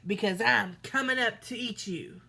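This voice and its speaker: A woman voicing a hideous, stinky male troll under a bridge in a storybook, drawn-out and roaring. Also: fairly high pitch, creaky, exaggerated voice. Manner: slow, loud, roaring.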